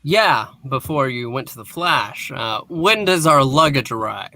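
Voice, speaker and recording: A man playing a superhero teammate in a deadpan amateur comic-book reading. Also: medium pitch, a little slow. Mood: questioning.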